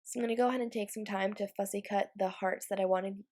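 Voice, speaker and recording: A woman's voice: medium pitch, fairly even intonation, fast talker, a little mellow. Stuffy nasal woman, young adult, getting over a cold, chatty craft vlog. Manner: chatty, with fillers and light laughs. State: congested from a cold. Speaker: Bible journaling vlogger. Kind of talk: casual craft tutorial voice-over.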